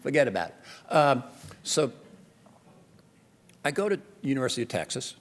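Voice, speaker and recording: A man's voice: medium pitch, big pitch swings, moderate pace. An older man with a New Jersey accent giving a conference keynote, talking animatedly and conversationally with many restarts. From Jersey City, New Jersey. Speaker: keynote speaker. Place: large lecture hall. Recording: long hall reverb.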